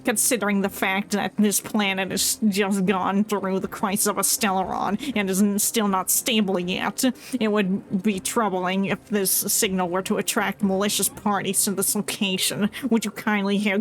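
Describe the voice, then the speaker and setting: A woman's voice: mid-range voice, slight background noise. A woman giving casual, rambling livestream commentary on a game and reading its text aloud. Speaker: game streamer. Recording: game music faintly behind the voice.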